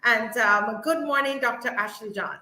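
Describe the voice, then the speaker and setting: A woman hosts a live online talk show with a bright, high voice. She speaks earnestly and with animation, calling out to her viewers. Her voice rings in an echoey room. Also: moderate pace.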